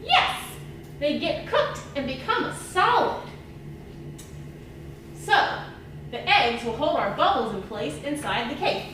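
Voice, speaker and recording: An excitable old scientist puppet, voiced by a woman, swoops about in a high voice. The puppet mulls things over aloud and exclaims at discoveries for young viewers. It sounds distant, in a very echoey, noisy room. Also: slow, pauses often, heavily acted. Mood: excited explaining. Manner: musing, repeats words, exclaims, thinks aloud. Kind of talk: children's educational puppet show.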